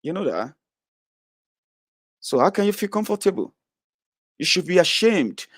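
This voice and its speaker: A man in his thirties delivers a blunt, profane rant straight to his audience. He swings between loud outbursts and low, muttered asides. The recording is close and dry, with no room sound. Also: very animated, a little slow, dry recording. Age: 30s. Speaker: online political commentator. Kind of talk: ranting monologue to followers.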